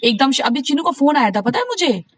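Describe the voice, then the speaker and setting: A woman's voice: high voice, very animated, fairly quick, dry recording. A woman in a casual private phone conversation, talking insistently, giving advice and recounting what others said. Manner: insistent, advising, recounts conversations by acting out quotes.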